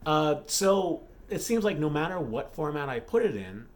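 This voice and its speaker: A man's voice: a little high, big pitch swings, moderate pace. A man talking casually and unscripted as an author vlogging, thinking aloud with repeats and self-corrections. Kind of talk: casual unscripted vlog talk.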